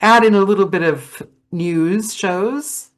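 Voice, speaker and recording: A woman hosting a casual podcast chat, rambling and animated, laughing often. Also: mid-range voice, takes it slowly. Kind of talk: casual video-call chat.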